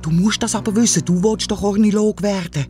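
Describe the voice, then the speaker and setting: A frightened young boy speaks in a higher voice, put on by a Swiss German man and fires off anxious questions. He has a Swiss German accent, and the scene is storybook narration with faint music underneath. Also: quick pace, close to an everyday voice. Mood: scared.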